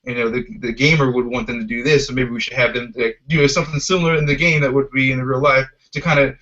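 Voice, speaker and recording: A man with an average-pitched voice hosts a relaxed podcast discussion. He is agreeable and a bit hesitant, circling back over his phrases. The sound is slightly dull and lo-fi. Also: fast. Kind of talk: casual panel discussion.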